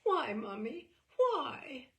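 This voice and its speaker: A woman's voice: high-pitched, very animated, drawn-out words, far from the mic, voice pushed up, heavily acted. A woman voicing a wistful, hurt young girl from a short story, confiding in a plaintive, pleading way.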